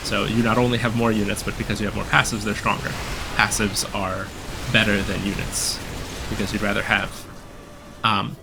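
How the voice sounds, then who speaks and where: A man with a low voice talks through a game build, slightly hoarse from a cold. His voice carries a gravelly fry and many relaxed ums. Loud game music plays underneath. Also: normal speed, poor audio. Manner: relaxed, explanatory, frequent ums. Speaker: gameplay guide commentator. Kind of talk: casual gameplay commentary.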